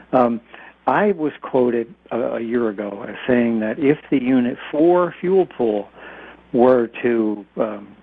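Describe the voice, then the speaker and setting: A man with an American accent explaining things informally and haltingly as an expert guest on a radio show, over a dull line. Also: medium pitch, dry recording. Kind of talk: explanatory interview talk. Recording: dull, band-limited radio call-in line.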